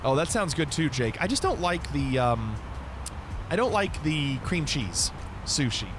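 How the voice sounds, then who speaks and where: A man's voice: a little high, big pitch swings, unhurried, noisy background. Chatty man streaming a flight sim. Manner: chatty, reads and answers viewer chat between radio calls. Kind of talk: casual live-stream chat.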